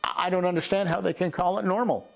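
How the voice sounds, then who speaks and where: A man's voice: sits high, steady tone, fast, creaky. A middle-aged man with an American accent, a doctor recounting events in an interview. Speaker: doctor, breast imager. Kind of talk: interview account.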